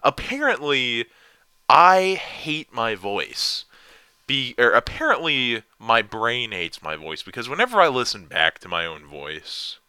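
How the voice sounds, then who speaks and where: Young man, deep lively voice, unhurried self-deprecating rambler, dry close mic. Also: smooth, dry recording. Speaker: vlogger answering viewer questions. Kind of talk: casual rambling chat.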